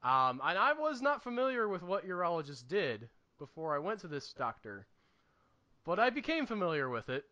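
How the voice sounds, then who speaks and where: A man with a notably high, bright voice co-hosts a casual podcast. He talks with comic emphasis and repeats himself for effect as he tells stories. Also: very animated, moderate pace, highs cut off. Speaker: podcast co-host. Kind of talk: casual comedic chat and anecdote telling.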